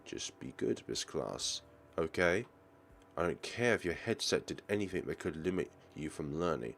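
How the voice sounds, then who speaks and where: A man voicing a stern, condescending male schoolteacher in a visual novel, scolding slowly. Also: low-pitched, big pitch swings, vocal fry, slight background noise, pitched below the performer's own voice, full character voice. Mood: cold, scornful.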